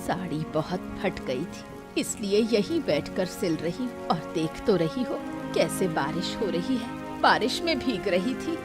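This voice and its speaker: A woman's voice: lowish voice, big pitch swings, a little slow, over music. A woman voicing a poor, wronged old mother-in-law in a cartoon melodrama, plaintive and aggrieved. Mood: plaintive, hurt. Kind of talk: Hindi cartoon family melodrama.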